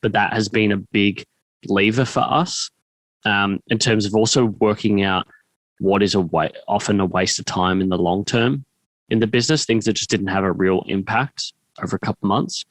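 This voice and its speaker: Lowish, dry-sounding male podcast host. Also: fairly even intonation, moderate pace, no echo. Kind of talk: conversational interview.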